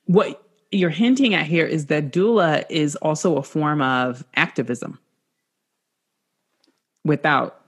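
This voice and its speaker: A woman's voice: sits low, takes it slowly, pauses often, breathy edge. A woman hosting a podcast, who speaks in a thoughtful, affirming way. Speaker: podcast host. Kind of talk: casual podcast interview.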